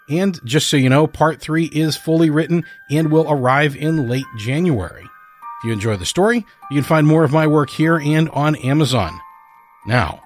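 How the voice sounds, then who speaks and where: Male podcast host over music. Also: mid-range voice. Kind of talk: scripted show introduction.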